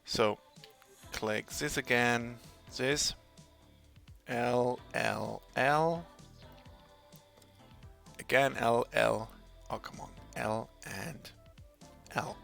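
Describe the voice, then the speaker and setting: A German man talks slowly through a software tutorial in English, stopping often to find the right word. His voice is bright and gravelly with creaky fry, and faint music plays underneath. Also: medium pitch. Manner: slow, halting, searches for English words. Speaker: tutorial maker. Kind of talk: screen-recorded software tutorial.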